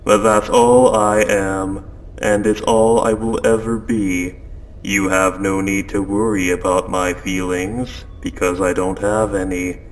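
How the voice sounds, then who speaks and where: A man voicing a calm, possessive spaceship AI in an audio roleplay, speaking in a measured, formal, softly coaxing way. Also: low-pitched, slight background noise, low-quality recording. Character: calm, possessive spaceship AI turning quietly obsessive. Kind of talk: scripted audio roleplay monologue. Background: ambient sound effects.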